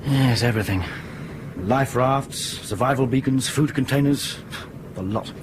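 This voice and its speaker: A man acting in a radio drama as a brisk, suspicious airline captain with a clipped, authoritative manner. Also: medium pitch, background noise, natural voice. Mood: firm, exasperated.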